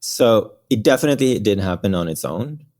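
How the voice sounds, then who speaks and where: Man, 30s, deep voice, relaxed, hesitant, chuckling. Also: moderate pace. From Norway. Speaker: guest, company founder. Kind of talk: interview answers.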